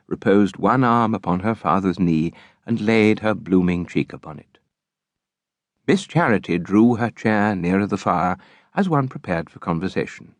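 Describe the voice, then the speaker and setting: A man narrating a literary audiobook in a measured, theatrical, expressive style. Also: fairly low pitch, no echo.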